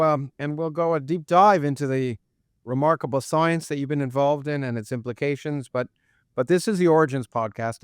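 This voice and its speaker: A man hosting an interview podcast, talking in halting runs with many restarts and repeated words. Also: medium pitch, smooth, dry recording, studio quality. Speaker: podcast host. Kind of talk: interview podcast, intro then conversation. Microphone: remote interview setup.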